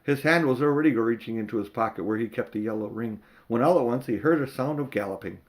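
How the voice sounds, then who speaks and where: A man's voice: mid-range voice, fast. Older man reading a storybook aloud. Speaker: amateur audiobook reader. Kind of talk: expressive storybook narration.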